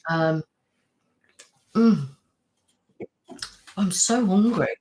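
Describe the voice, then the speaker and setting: A woman's voice: medium pitch, lively intonation, very slow, dark tone, a little hiss or hum, low-quality recording. A woman hosting a casual live stream from home, chatting on at length and answering viewers' comments. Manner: rambling, chatty, waffles on. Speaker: live-streaming channel host.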